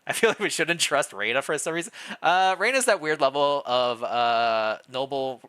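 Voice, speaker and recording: A man's voice: mid-range voice, normal speed, pushed and bright, clean tone. A man reading a story aloud on a live stream, mixing narration with casual commentary.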